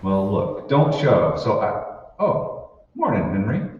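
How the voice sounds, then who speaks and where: A man's voice: fairly low pitch, wildly swooping pitch, slow, heavily acted. An actor playing a bewildered, irritable married office man in an old-time sci-fi radio drama, speaking hesitantly and in exclamations. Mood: puzzled. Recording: roomy, muffled, distant stage recording with noise.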